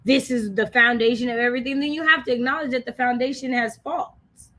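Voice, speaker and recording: A woman's voice: a little high, fairly even intonation, fairly quick, fairly bright tone. A woman with an American accent co-hosting a casual live book discussion, speaking emphatically and repeating phrases to drive points home. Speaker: co-host of a book discussion livestream.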